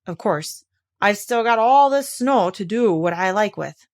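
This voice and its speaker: A woman's voice: mid-range voice, expressive, unhurried. A woman voicing a gloomy, resigned male donkey from a children's storybook, with a mournful, plodding manner. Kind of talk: children's storybook character reading.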